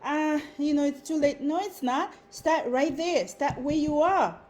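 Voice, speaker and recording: High-voiced Zimbabwean woman, emphatic. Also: expressive, a little slow, darkish tone. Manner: earnest and emphatic, repeating phrases for stress. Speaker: content creator. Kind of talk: motivational talk to camera.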